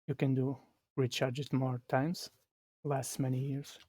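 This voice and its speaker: Flat-voiced Portuguese man streaming. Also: medium pitch, breathy edge, dry recording. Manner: halting, restarts phrases while explaining. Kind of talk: live gameplay commentary.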